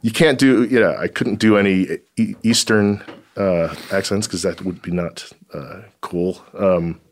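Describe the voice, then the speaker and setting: A comedy writer chatting casually on a podcast, rambling and laughing often between phrases. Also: male voice, low-pitched, steady tone, measured pace. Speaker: comedy writer and performer guest.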